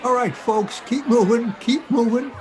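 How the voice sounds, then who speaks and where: A man's voice: very high voice, measured pace, music in the background, crowd noise. A man playing a brusque officer barking orders at onlookers in old-time radio comedy. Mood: bossy. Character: brusque, bossy crowd-control officer.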